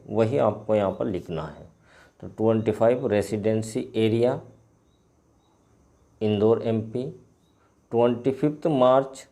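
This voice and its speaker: A man with an Indian accent, a native Hindi speaker, teaching line by line and repeating phrases deliberately as he translates. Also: lowish voice, dark tone. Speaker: English teacher. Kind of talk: line-by-line bilingual lesson, reading and translating.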